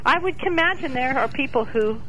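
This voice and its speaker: A woman with a somewhat high voice calls in to a talk radio show. She speaks casually and frankly, in an outspoken, conversational manner. There is faint noise on her phone line. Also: lively intonation, brisk, pushed and bright.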